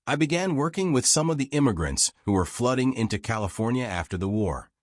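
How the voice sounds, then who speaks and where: A man's voice: medium pitch, animated, fairly quick, no room sound, studio quality, natural voice. A man voicing a frank, wry old American war reporter reminiscing in folksy, self-deprecating audiobook dialogue.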